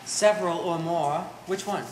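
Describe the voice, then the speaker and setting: A woman's voice: on the low side, moderate pace, darkish tone, lightly acted. A woman playing a suspicious, haughty grown daughter with pompous, wordy sarcasm in a live one-act stage play. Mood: scornful. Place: art gallery stage reading. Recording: roomy echo, clear background noise.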